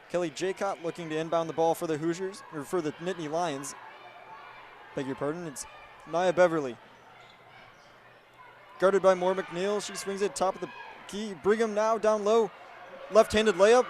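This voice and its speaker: High-pitched young man calling basketball. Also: a little hiss or hum. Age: 20s. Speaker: student sports broadcaster and reporter. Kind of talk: radio play-by-play commentary. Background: basketball game ambience.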